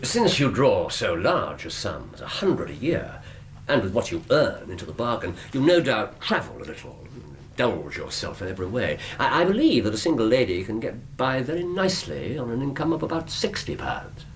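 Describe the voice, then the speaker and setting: A British actor playing a shrewd, courteous, self-assured Victorian detective in a radio drama, with precise, theatrical, formal phrasing. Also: male voice, mid-range voice, big pitch swings, normal speed, a little hiss or hum, natural voice. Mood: probing, polite.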